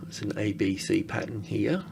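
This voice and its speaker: A man giving casual, unscripted screen-share commentary as an analyst, halting and thinking aloud as he goes. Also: on the low side, creaky, noisy background. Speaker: market analyst presenting.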